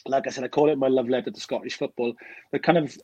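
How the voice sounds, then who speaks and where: A husky-voiced Welsh man in his forties talks fast in a rambling way, full of fillers and restarts. His voice is dry and close, over a dull, lo-fi remote call line. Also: mid-range voice, steady tone, warm tone, no room sound. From Wales. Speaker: documentary director. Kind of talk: casual podcast interview.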